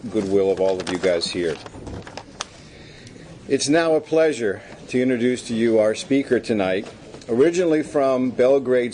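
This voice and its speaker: Friendly low-voiced man hosting a meeting. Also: a little mellow, a little hiss or hum. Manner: friendly, informal, with restarts. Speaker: meeting host. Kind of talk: announcements and speaker introduction.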